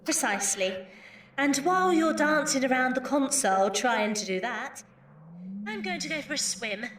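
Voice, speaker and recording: A woman's voice: high-pitched, expressive, normal speed, a bit bright. A woman playing a weary but playful young time traveller in an audio drama, with a dry, teasing delivery. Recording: roomy, distant, noisy home recording.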